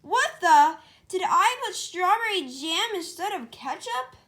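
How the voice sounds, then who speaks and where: A woman voicing a cute, shy young female hedgehog in an amateur storybook read-aloud, girlish, excitable and easily flustered. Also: very high voice, unhurried, voice pushed up, exaggerated voice. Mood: pleased, surprised.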